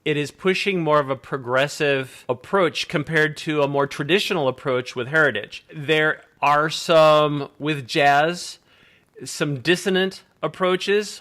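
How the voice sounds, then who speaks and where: A man with a bright, fairly high, forceful voice hosts a music interview. He talks in a friendly, enthusiastic way, and his voice comes through a video call. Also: a little slow. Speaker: interviewer for a bass magazine. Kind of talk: casual remote interview.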